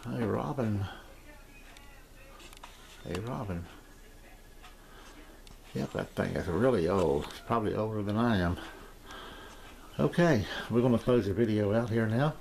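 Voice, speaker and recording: Low-voiced older man, rambling and hesitant. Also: lively intonation, unhurried, pauses often, a little mellow. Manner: rambling, hesitant, repeats himself. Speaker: amateur radio hobbyist giving a shack tour. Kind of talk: casual, unscripted home video tour. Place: small home radio room, indoors. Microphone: handheld camera's built-in mic. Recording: handheld camcorder with clear background noise.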